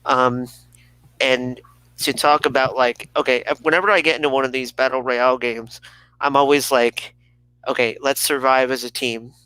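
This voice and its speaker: A man's voice: medium pitch, steady tone, moderate pace, bright, forceful tone, clean tone, dry recording, rough recording. A man co-hosting a talk show in casual, unscripted conversation.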